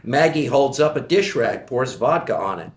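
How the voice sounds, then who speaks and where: A man's voice: medium pitch, a little hiss or hum. Man reading stage directions in an echoey room. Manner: even, measured reading of scene directions. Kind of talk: table read narration.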